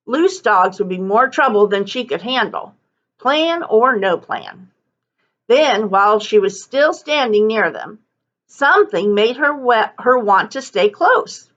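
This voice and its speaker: Female storybook reader, teacherly; highish bright voice, slow expressive delivery, modest lo-fi sound. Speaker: teacher reading a book aloud. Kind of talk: expressive children's story narration.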